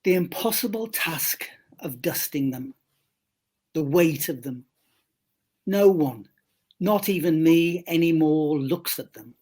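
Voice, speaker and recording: A poet reading their poem aloud in a measured, wry way with deliberate phrasing. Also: mid-range voice, darkish tone. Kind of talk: slow poetry reading.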